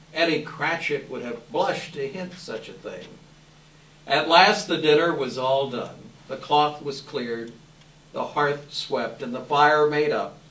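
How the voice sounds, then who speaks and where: A man's voice: medium pitch, fairly bright tone. Echoey, distant man narrating a classic story. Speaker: narrator, readers theater volunteer. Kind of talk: live dramatic reading of classic story. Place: live event room. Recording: echoey room, distant microphone.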